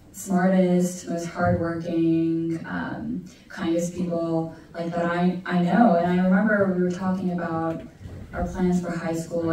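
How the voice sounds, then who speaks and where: A young woman speaks in a slightly low voice, pausing often with ums as she gathers her thoughts. She sits far from the mic in a very echoey room. Steady background noise runs under her. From United States (Alaska). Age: young adult. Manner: thoughtful, many ums and pauses. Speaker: panelist. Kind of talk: personal story at a panel.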